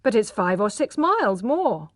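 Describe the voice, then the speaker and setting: A woman reading a storybook aloud, voicing a reckless young gentleman who shouts orders and mocks. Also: mid-range voice, big pitch swings, moderate pace, dry recording, clean studio sound. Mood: coaxing. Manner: loud, commanding, mocking. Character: brash reckless young male gentleman driver.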